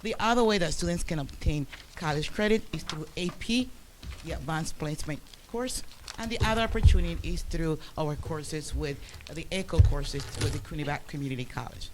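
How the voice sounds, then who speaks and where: A man giving a formal presentation to a school board at a public meeting, pausing often with fillers and restarting his sentences. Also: a little high, animated, background noise. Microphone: room microphone, quiet for listeners.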